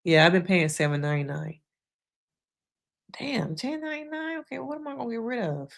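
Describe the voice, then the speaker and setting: A woman's voice: fairly high pitch, big pitch swings, brisk, creaky. A woman hosting a casual live stream, chatting frankly with viewers, laughing often, and losing her voice.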